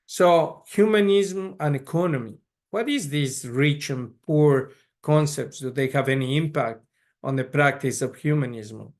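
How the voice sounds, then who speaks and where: Measured man lecturing. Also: a little high. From Ecuador. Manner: measured, frequent restarts and repeated words. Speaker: pediatric neurologist lecturer. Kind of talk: conference lecture.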